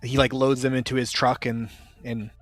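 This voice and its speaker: A man's voice: mid-range voice, flat delivery, moderate pace, no room sound. Man, game master, dry close sound, faint background music. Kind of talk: narrating a tabletop game.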